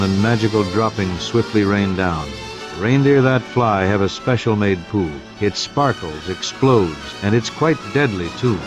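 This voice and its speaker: A man narrating a rhyming storybook tale in a measured, theatrical scripted style. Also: lowish voice.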